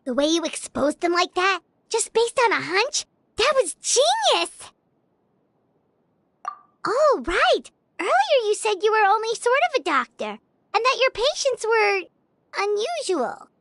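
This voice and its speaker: Tiny squeaky game fairy girl, super high n bouncy, eager, exclaims everything. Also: sing-song, unhurried, gravelly, a bit bright, dry recording, full character voice. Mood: eager, curious. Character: small excitable fairy-like companion, childlike girl. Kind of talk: video game voice acting.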